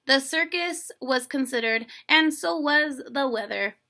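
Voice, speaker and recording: Female storybook reader for kids, expressive and chatty, slower pace with some creak in the voice. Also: high-pitched. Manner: chatty, playful, expressive, exclaims and comments to young listeners. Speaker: teacher reading a children's book aloud. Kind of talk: animated story read-aloud with casual asides.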